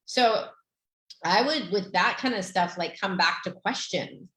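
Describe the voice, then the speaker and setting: A middle-aged woman, a Romanian native speaker, hosting a podcast as a therapist, gently guiding listeners through a tapping exercise with many fillers. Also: mid-range voice, unhurried, roomy sound.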